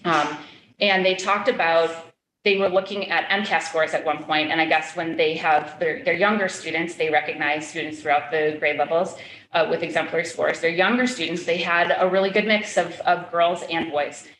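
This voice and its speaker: A woman's voice: fairly low pitch, fairly even intonation, moderate pace, a bit bright, echoey room, low-quality recording. Earnest woman running a public forum. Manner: earnest, with many fillers and restarts. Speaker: school committee member chairing a public forum. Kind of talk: public meeting, answering questions.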